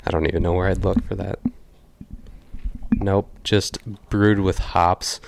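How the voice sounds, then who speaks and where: A man co-hosting a podcast in laid-back chat, his voice raspy from a lingering cough. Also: low-pitched, flat delivery, unhurried, a little hiss or hum. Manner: laid-back, chatty, laughs easily. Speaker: podcast co-host.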